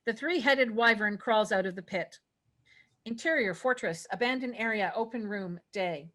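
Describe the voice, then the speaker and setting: A woman's voice: medium pitch, lively intonation, moderate pace, a bit bright. A woman reading stage directions as narrator in a scripted fantasy table read.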